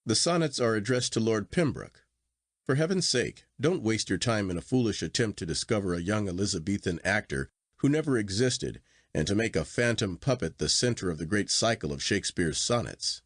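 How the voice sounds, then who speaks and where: A man voicing an earnest, formal gentleman in storybook dialogue, emphatic and exclamatory. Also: on the low side, lively intonation, brisk, no room sound, clean studio sound. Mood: exasperated, insistent. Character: earnest gentleman narrator, contrite then exasperated sceptic.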